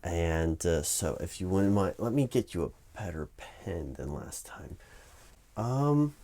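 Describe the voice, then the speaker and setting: A man with a fairly low voice plays a flustered corporate recruiter in a comedic interview roleplay. He speaks officiously but stammers and rambles, evasive and easily distracted as he addresses an unheard candidate. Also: lively intonation, slow pace, warm tone. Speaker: performer playing a job interviewer. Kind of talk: one-sided comedic ASMR interview roleplay.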